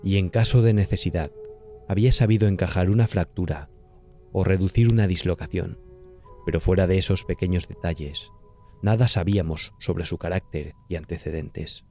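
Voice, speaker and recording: A man's voice: sits low, moderate pace, dark tone, faint music behind. A man with a Castilian Spanish accent narrating a horror audiobook in a scripted, dramatic storytelling style.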